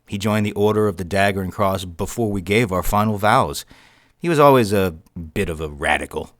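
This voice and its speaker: A grave, learned bishop played by a male actor in an audio drama. His voice sits fairly low and is recorded dry. He explains things in measured, formal phrases with a weary, dismissive edge. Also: a bit bright, dry recording, close to an everyday voice. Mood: matter-of-fact.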